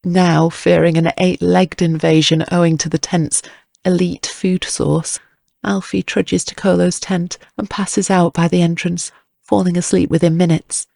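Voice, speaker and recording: Creaky-voiced male audiobook narrator. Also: high-pitched, flat delivery, measured pace, a little mellow, dry recording, clean studio sound. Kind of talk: scripted dramatic narration.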